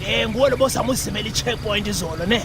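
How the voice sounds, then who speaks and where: An actor playing an excitable, brash local man with an African accent in a video game. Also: sits high, quick pace, heavy background noise, natural voice. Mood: challenging.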